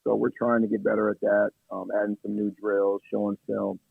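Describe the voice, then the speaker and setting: A man, an assistant basketball coach, answering radio interview questions in a measured way with many fillers, his voice hoarse from yelling at practice. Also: on the low side, level tone, dry recording. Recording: tinny phone line.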